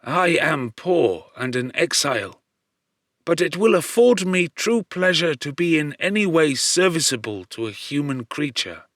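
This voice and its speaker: A kindly old blind man, played by a male audiobook reader, speaks in a raised, high voice. He is gentle and courteous, taking his time with earnest, measured phrases. The sound is dry and close. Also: sing-song, no room sound. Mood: gentle, reassuring. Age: older. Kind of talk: audiobook character voice.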